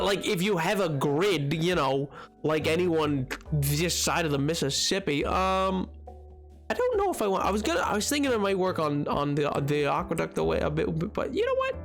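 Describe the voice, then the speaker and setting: A man streaming a game, chatting with his viewers in a rambling, excitable way, his voice hoarse from a cold. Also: on the high side. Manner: rambling, excitable, jumps between topics. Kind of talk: casual chat with stream chat while gaming.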